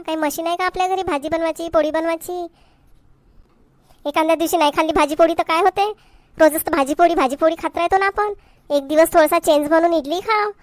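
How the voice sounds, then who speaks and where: A woman's voice: very high-pitched, no room sound. A woman playing an exasperated, hard-working village wife in a comedy cartoon, complaining sharply in a Varhadi Marathi accent. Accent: Varhadi Marathi from Vidarbha. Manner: scolding, complaining, sharp-tongued.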